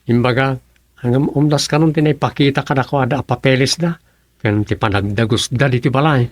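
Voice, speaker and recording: An actor plays a protective, concerned man of the village in an Ilocano radio drama. His pitch is average for a man. He has an Ilocano accent, and the sound is close and dry. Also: a little fast, dry recording, natural voice. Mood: earnest.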